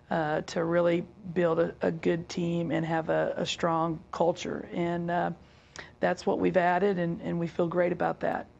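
A middle-aged woman basketball coach with a hoarse, raspy voice, answering interview questions over a video call with many halting fillers. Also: on the low side, darkish tone, highs cut off. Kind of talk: remote press interview. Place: office with team logo backdrop.

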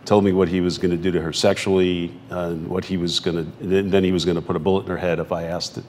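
A man in his sixties, a talk show guest, recounting his own story in halting phrases with repeated words. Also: deep voice, flat delivery, darkish tone, a little hiss or hum. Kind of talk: recounting a personal story in conversation. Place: TV studio.

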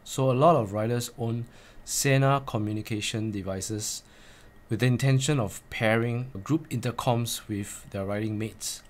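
A man's voice: mid-range voice, unhurried. A man in his forties presenting a step-by-step tutorial in a plain, instructional way, repeating key points for emphasis. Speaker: presenter of motorcycle gear tips.

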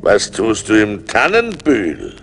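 An actor playing a menacing giant forest spirit in a radio play, with a looming, drawn-out delivery. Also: male voice, lowish voice, huge pitch swings, gravelly, heavily acted. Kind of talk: 1980s German radio play acting. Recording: vinyl radio play under loud music.

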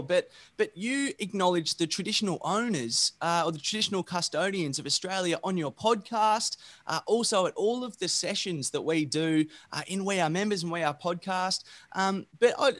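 High-pitched man, podcast host, boom mic, home studio, rambling lead-in to questions. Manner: warm-up preambles, hesitant fillers. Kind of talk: interview questions. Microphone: boom-arm studio mic.

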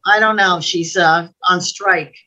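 Bright-voiced older American woman. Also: on the low side, steady tone, slow, lo-fi sound. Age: older, 60s or above. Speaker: co-host. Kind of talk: casual chat. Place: indoor room at home, video call. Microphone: computer mic on video call.

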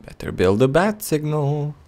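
A man's voice: a little high, very animated, measured pace, mellow. A man giving casual, playful video game commentary, joking and muttering reactions as he plays. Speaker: Let's Play host. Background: video game sounds.

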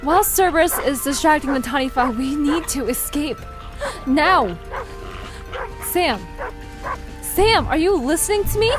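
A woman's voice: high-pitched, expressive, unhurried, pauses often, over music. A woman acting an anxious, pleading young woman who leads her friends in an audio drama. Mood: distressed, pleading.

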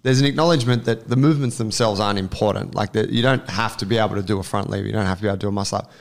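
A man's voice: on the low side, racing, creaky. A man co-hosting a casual podcast, blunt and wry, swearing casually. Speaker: podcast co-host.

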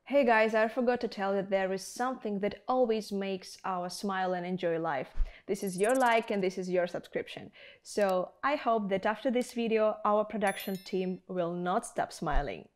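Female presenter, young adult, mid-range pitch, for scripted promotional review addressed directly to viewers. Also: normal speed. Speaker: video presenter. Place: indoor studio with plain backdrop.

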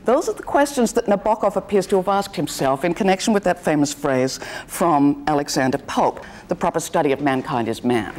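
A woman's voice: low voice, lively intonation, brisk, hardly any pauses, a little mellow. A woman lecturer delivering a literature lecture.

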